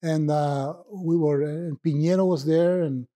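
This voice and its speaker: A man with a Puerto Rican accent, a poet telling stories in a rambling, reminiscing way with filler pauses. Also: a little high, steady tone, mellow. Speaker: poet guest. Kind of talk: relaxed conversational storytelling.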